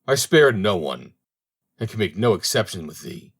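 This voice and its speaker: A man's voice: fairly low pitch, big pitch swings, normal speed. A man voicing Death as a stern, solemn spirit in a storybook, speaking in formal, archaic, commanding phrases. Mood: solemn, declaring.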